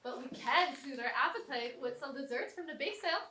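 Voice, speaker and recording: A woman's voice: high voice, animated, a little fast. A woman giving scripted announcements as co-host of a club's stage event. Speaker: event co-host, club presenter. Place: college theatre, indoors. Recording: echoey theatre, distant, faint noise.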